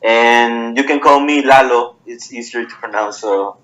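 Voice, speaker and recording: A man, a project partner and interview guest, greeting the audience in a polite, halting way. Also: mid-range voice, fairly bright tone, lo-fi sound. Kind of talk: casual interview talk.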